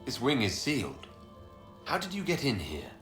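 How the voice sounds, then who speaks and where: A man's voice: medium pitch, wildly swooping pitch, normal speed, fairly bright tone. An actor playing a resolute inquisitor in a video game, direct and authoritative as he questions and gives orders. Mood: probing. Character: commanding male inquisitor, resolute hero and investigator. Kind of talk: video game cutscene dialogue. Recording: roomy echo, faint music behind.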